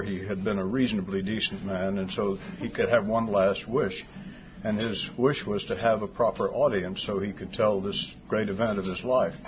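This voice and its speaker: An older man from Texas giving an after-lunch talk, soft-voiced, with dry humor and many pauses. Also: on the low side. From Fort Worth, Texas. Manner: dry humor, hesitant with fillers. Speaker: guest speaker. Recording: dull lo-fi sound with clear background noise.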